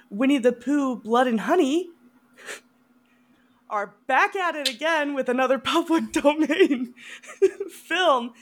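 A woman co-hosting a horror movie podcast in casual chat, often laughing as she talks. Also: on the high side.

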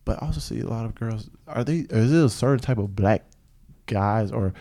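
A man's voice: on the low side, brisk, warm tone. A man hosting a casual podcast chat, joking, swearing freely and laughing often. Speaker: podcast host.

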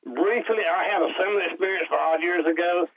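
A man's voice: high voice, fairly even intonation, brisk. A man calling in to a radio show, chatting in a friendly, plain-spoken way. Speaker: caller. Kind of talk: phone-in caller chat. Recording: muffled broadcast audio.

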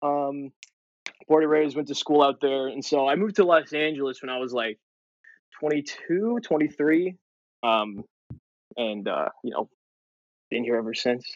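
A man's voice: medium pitch, a little slow, pauses often, no room sound, lo-fi sound. A young man from Ohio, a comedian guest telling stories in casual podcast chat with lots of fillers and swearing. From Ohio, USA. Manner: rambling, many fillers, swearing.

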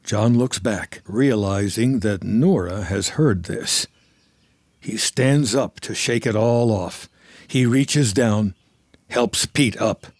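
A man's voice: on the low side, slow, darkish tone, no room sound, studio quality. A man narrating a film script in a scripted storytelling style.

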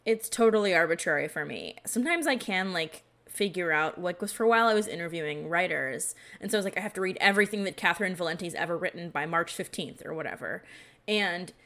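A woman's voice: fairly low pitch, fast talker, fairly bright tone. A woman hosting a podcast in casual, bookish chat, talking in a rambling, self-deprecating way and often saying "like.". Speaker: podcast host; library professional.

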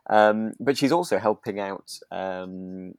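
A British man co-hosting a podcast in relaxed chat, hesitant and often repeating words as he discusses a radio drama. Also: lowish voice, slow, no room sound, studio quality. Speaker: podcast co-host.

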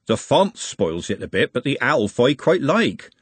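Man as mischievous baker, storybook character, needling and jokey, colloquial, raised pitch, swooping delivery. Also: very animated, a little slow, husky, no room sound. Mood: teasing. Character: teasing, grinning male baker, mischievous and nosy.

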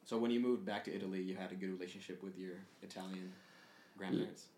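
A man's voice: low-pitched, steady tone, fast talker, darkish tone, echoey room, slight background noise. A man hosting a podcast, asking curious questions and giving short backchannel replies. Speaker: podcast host. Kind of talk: casual interview questions.

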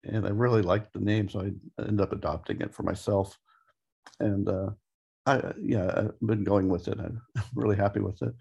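A man's voice: on the low side, steady tone, normal speed, gravelly, warm tone, husky, highs cut off. A man co-hosting a podcast, chatting casually and hesitantly as he thinks aloud in fragments. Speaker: podcast co-host and writer. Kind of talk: casual interview chat.

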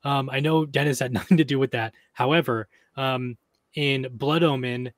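Dry-voiced man theorizing with many pauses. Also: medium pitch, fairly even intonation, no echo. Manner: thinks aloud with hesitant fillers and restarts. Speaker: podcast co-host discussing game lore. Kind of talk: casual chat, theorizing.

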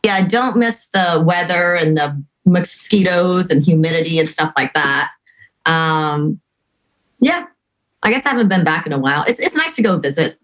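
Lower-pitched American woman, casual. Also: expressive, moderate pace, rough recording. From Atlanta, US. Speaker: band lead guitarist, interview guest. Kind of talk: casual chat.

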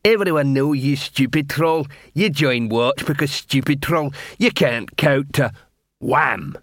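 Man as a plodding, slow-witted troll tough. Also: medium pitch, dry recording, clean studio sound, close to an everyday voice. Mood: scornful. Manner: broken grammar, plodding. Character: scornful, wide male troll street tough, slow-witted.

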